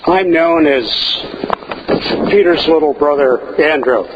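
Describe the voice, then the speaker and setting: A man playing a humble, earnest disciple, the younger brother, gives a church monologue in a slightly high voice. He takes his words very slowly, with clear noise behind him in the live recording. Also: highs cut off.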